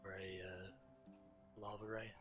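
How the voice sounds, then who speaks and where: A man's voice: low voice, level tone, a little slow. A man giving understated, matter-of-fact commentary on a video game and reading quest text aloud. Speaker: game streamer. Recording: game music faintly behind. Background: video game music.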